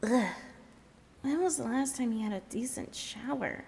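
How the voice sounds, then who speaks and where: A woman's voice: on the high side, normal speed, gravelly, darkish tone, a little hiss or hum. A woman playing a weary, no-nonsense carer with a blunt, hesitant manner in naturalistic audio drama. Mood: exasperated. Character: weary, no-nonsense woman tending an injured man.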